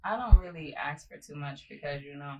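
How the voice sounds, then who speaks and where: A woman's voice: sits low, fairly quick, mellow. A young woman speaking as a daughter in a casual family chat, giving emphatic, motivational advice. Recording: distant mic with faint noise.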